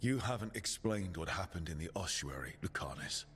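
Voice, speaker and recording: Firm, low, suspicious man. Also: animated, moderate pace, fairly bright tone, big-room sound, soft background music. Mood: stern, demanding. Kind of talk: dramatic video game cutscene acting.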